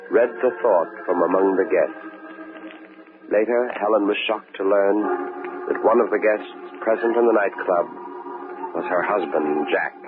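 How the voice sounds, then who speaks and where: A man narrating a mystery recap in grave, measured vintage radio style on a tinny old recording. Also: lowish voice, lightly acted. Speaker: narrator, announcer.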